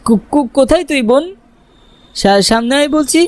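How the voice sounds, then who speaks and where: A man's voice: extremely high pitch, normal speed. A man playing a frightened young villager in a horror story, telling it in suspenseful first person and stammering when he addresses others. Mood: frightened, pleading.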